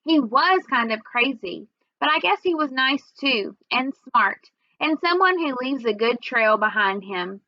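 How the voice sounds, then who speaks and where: Female storybook reader, lightly high voice, narrating for children on a close, slightly muffled recording. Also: lively intonation, a little slow, no echo. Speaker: read-aloud reader.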